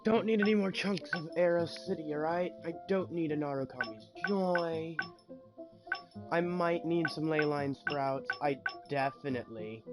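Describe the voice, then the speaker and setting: A man's voice: a little high, a little slow. A man streaming a video game with casual commentary, thinking aloud as he plays. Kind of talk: casual let's play commentary. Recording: loud game music behind.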